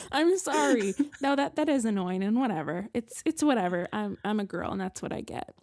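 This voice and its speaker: A woman's voice: medium pitch, expressive, moderate pace, a little mellow, slight background noise. A woman co-hosting a podcast in casual chat, rambling and self-deprecating with many restarts. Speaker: podcast co-host.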